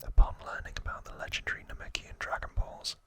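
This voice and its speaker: A man hosting ASMR in a breathy, unvoiced whisper, reading and commenting in a hushed, deliberate way. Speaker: ASMR host.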